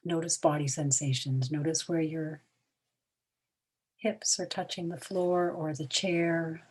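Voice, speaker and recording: A woman guides a relaxation meditation in a low, breathy voice. She speaks very slowly in short, soothing phrases with long pauses, often softening toward a whisper at the ends. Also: steady tone.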